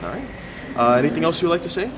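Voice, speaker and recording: A woman's voice: sits low, expressive, very fast, heavy background noise. A woman interviewing people as a field reporter in a friendly way, with fillers. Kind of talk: casual interview questions.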